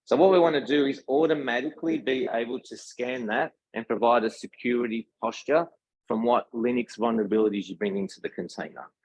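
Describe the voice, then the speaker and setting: A man's voice: mid-range voice, fairly quick, darkish tone, slightly rough, no room sound, rough recording. A man with an Australian accent giving an informal technical talk at a meetup, speaking conversationally and checking in with his listeners. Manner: conversational, addresses the audience with questions and tag "right?". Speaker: tech company presenter.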